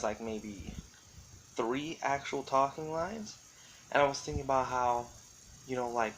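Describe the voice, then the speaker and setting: A man's voice: mid-range voice, fairly bright tone, low-quality recording. A man giving casual, rambling solo commentary as a vlogger, thinking aloud and often qualifying what he says in a tentative way. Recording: clearly audible background noise.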